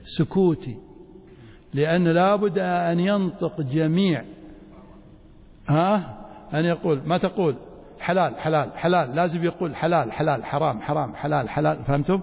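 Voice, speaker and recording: A man's voice: fairly high pitch, moderate pace, vocal fry, a little mellow, husky. A man with a Saudi accent teaching a religious lecture didactically, often checking that his students follow. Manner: didactic, checks understanding with short questions. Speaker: Islamic studies teacher, sheikh. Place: large reverberant hall, mosque. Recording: echoey hall with long reverberation.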